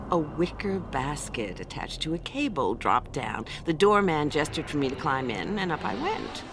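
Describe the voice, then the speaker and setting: A woman's voice: lowish voice, fairly bright tone, slight background noise. A woman playing a sardonic, cocky adventuress in an audio drama, with a dry, offhand way of talking. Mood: wry storytelling. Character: sardonic, cocky adventuress who narrates her own exploits. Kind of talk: audio drama acting and narration.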